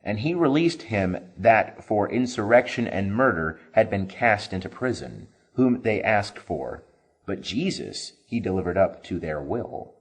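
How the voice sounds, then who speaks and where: A man reading scripture aloud as a scripted narration, solemn and expressive in delivery. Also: fairly low pitch, measured pace, breathy edge. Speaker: scripture reader. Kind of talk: dramatic scripted Bible reading.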